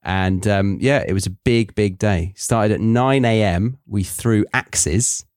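A man's voice: on the low side, takes it slowly, darkish tone, clear, resonant tone, dry recording. A British man hosting a podcast, chatting in a laid-back way with dry wit. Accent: British English. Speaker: podcast host. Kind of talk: casual chat.

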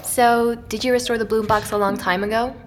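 A woman's voice: a little high, steady tone, brisk, a little hiss or hum, natural voice. A woman playing a curious, candid amateur investigator, asking questions informally in an audio drama. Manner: inquisitive, informal. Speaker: actor playing interviewer. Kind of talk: naturalistic audio drama dialogue.

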